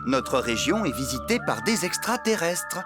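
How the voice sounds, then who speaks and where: An actor voicing an excitable, easily panicked farmhand in a French cartoon dub, with an animated, exclamatory delivery. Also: mid-range voice, normal speed, music in the background, natural voice. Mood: excited, convinced.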